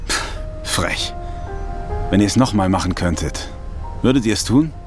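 A man's voice: lowish voice, lively intonation, normal speed, soft background music, lightly acted. An actor playing a cheeky, chatty male astronaut in a Mars crew in a sci-fi audio drama. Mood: teasing.

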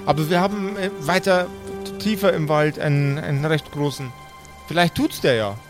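A man's voice: a little high, moderate pace, soft background music, natural voice. A man voicing a friendly, chatty female forest dwarf in a fantasy audio game. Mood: obliging.

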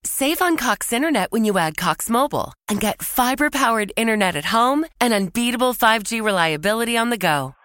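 A woman's voice: fairly high pitch, lively intonation, brisk, few pauses, a bit bright. A woman reading a scripted advertisement as an announcer. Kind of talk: scripted ad read.

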